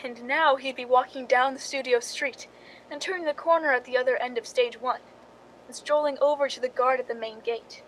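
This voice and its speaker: Casting: actress as scheming jealous woman, high voice, confessional suspense narration for radio drama, slightly noisy recording. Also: fairly even intonation, brisk, fairly bright tone. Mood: tense, knowing.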